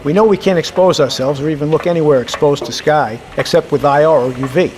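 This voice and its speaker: A man's voice: on the high side, fast talker, a little mellow, natural voice. An American man playing a commanding, wary Navy submarine captain in an audio drama, with clipped, authoritative military delivery. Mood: guarded, probing. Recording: clear ambient background noise.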